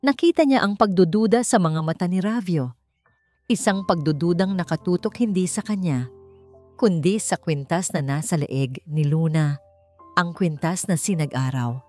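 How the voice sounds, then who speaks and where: A woman with a Filipino accent narrating a scripted romance story in slow, deliberate, dramatic phrasing. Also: lowish voice, darkish tone, faint music behind.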